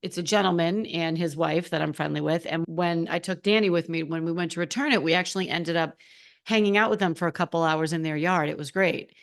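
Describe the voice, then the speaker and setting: Woman podcast host, slightly hoarse voice, lower pitch, chatty and lively, casual solo talk. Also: a little fast. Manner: chatty, animated, addresses listeners directly. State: a little hoarse.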